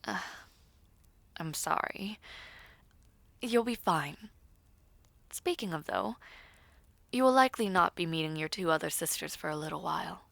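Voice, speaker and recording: A woman voicing a haughty, formal young princess in a fantasy audio roleplay, with a creaky, often breathy voice and a dry, disdainful manner. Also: fairly low pitch, fairly bright tone, dry recording. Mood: measured, reassuring. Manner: formal, measured phrasing.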